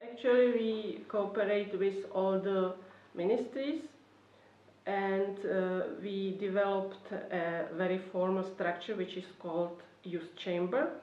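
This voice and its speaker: Woman, Czech accent, medium pitch, careful halting delivery, explanatory official talk, echoey room. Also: a little mellow. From Czech Republic. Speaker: government youth policy official. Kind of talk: explanatory talk for an online course. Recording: roomy, distant, slightly dull microphone.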